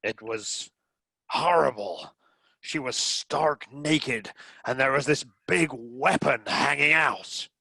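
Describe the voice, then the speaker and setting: A man's voice: mid-range voice, slow pace, vocal fry, sharp tone, slightly rough, dry recording, heavily acted. A man acting a blustering, indignant cruise passenger in a comedy radio play. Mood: shaken. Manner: blustering, exclamatory.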